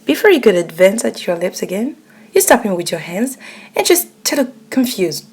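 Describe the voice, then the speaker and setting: Woman narrating with very varied pitch. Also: sing-song, normal speed, darkish tone, slightly rough. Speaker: narrator of an ASMR roleplay. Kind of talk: scripted second-person story narration.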